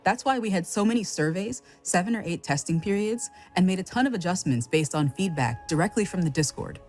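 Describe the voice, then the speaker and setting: A woman's voice: lowish voice, animated, brisk, a little mellow, faint music behind. A woman delivering a scripted announcement as a company co-founder addressing a community.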